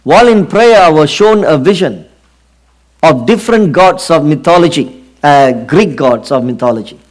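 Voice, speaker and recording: A middle-aged man from Singapore with a Singaporean accent, preaching an earnest sermon and often pausing on fillers. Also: fairly high pitch, expressive. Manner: earnest, drawn-out emphasis with frequent fillers.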